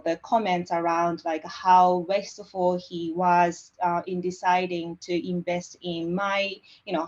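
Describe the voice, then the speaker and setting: A middle-aged woman with a Japanese accent, her voice sitting low for a woman. She talks haltingly in English, her third language, filling pauses with "um" and "you know". The call audio sounds dull and lo-fi. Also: a little mellow. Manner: hesitant, many fillers and restarts. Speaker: guest. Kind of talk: interview conversation. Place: home office.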